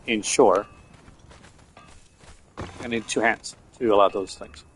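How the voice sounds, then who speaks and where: A man's voice: fairly low pitch, steady tone, measured pace, a little hiss or hum. Newfoundland man rambling over gameplay. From Newfoundland, Canada. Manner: rambling, offhand, talks while playing. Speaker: game streamer. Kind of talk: casual gameplay live-stream chat.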